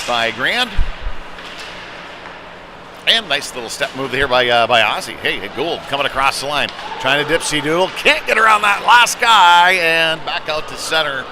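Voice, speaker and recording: A man doing live ice hockey play-by-play in a conversational manner that lifts on the action. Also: mid-range voice. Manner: conversational, rising to excited calls on big plays. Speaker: former goaltender. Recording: clear arena noise behind the voice.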